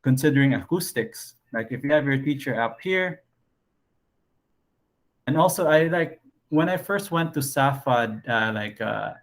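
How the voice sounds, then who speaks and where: A man's voice: animated, measured pace. A man teaching an online design class in a casual way, thinking aloud with frequent fillers. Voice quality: low voice.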